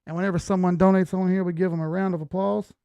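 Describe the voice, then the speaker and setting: A man's voice: high voice, flat delivery, quick pace, vocal fry, warm tone, clear, resonant tone, lo-fi sound. A man hosting a live stream, giving casual commentary and thinking aloud in slangy, informal language. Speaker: host of a live market analysis stream.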